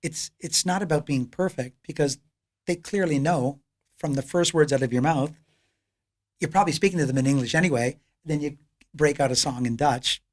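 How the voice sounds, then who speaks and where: A Canadian man chatting as a podcast guest, telling lively, upbeat stories about performing. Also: on the high side, moderate pace. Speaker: podcast guest, piano entertainer. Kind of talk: casual podcast conversation.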